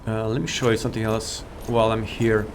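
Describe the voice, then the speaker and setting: A man's voice: on the low side, level tone, brisk, a little hiss or hum. A man giving rambling, informal and enthusiastic commentary as he hosts a video game showcase. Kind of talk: casual game walkthrough commentary. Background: game music.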